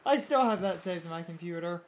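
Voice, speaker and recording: A young woman co-hosting a let's play with casual, animated gaming commentary. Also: on the low side, fairly quick, a little hiss or hum. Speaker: let's play co-host and editor. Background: video game music.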